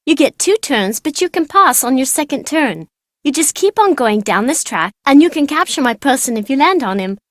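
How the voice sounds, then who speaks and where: A high, childlike voice for a bookish young girl in a video game. She is precocious and playful, with a matter-of-fact confidence. The sound is very dry and clean of any room. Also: expressive, normal speed, no room sound. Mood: eager, explaining. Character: bright, cheeky, bookish young girl who loves games. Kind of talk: video game character voice acting.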